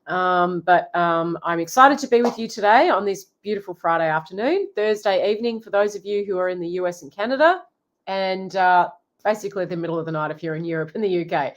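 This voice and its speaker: A woman's voice: on the low side, a little fast. Chatty woman coach with a head cold. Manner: chatty, warm greetings, frequent ums and restarts. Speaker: relationship coach. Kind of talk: live Q&A chat.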